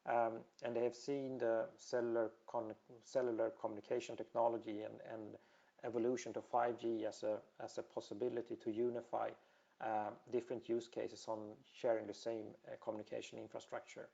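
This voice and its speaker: A man's voice: medium pitch, level tone, a little fast, creaky, mellow, muffled recording. A man from Sweden with a Swedish accent, giving a technical presentation with many fillers and restarts. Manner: hesitant, many fillers and repeated words. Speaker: industry presenter.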